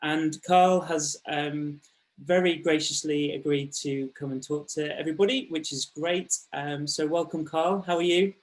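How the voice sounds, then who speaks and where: Higher-voiced man hosting, hesitant. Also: fairly even intonation, unhurried, slight background noise, poor audio. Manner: friendly, hesitant, with many ums. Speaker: host introducing a guest. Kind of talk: casual spoken introduction.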